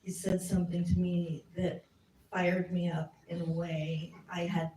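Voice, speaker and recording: A woman's voice: on the low side, little pitch movement, unhurried, dark tone. Candid American woman speaking in an echoey hall. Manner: candid, self-deprecating, chatty storyteller. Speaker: civics education speaker. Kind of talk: casual Q&A at a community talk. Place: community meeting room. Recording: echoey room from a distance, faint noise.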